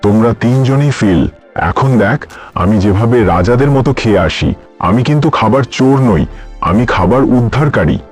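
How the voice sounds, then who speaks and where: A man voicing a cocky, mocking, boastful monkey in an animated fable. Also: mid-range voice, moderate pace, slightly rough, faint music behind. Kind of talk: animated fairy-tale voice acting.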